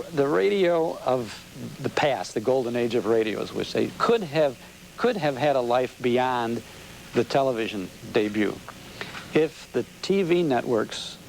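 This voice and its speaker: An American man, middle-aged or older, explaining things as an unhurried storyteller while being interviewed on television. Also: mid-range voice, vocal fry, a little mellow. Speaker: interview guest, old-time radio show host and collector. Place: TV studio interview. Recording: noisy 1990s local TV broadcast.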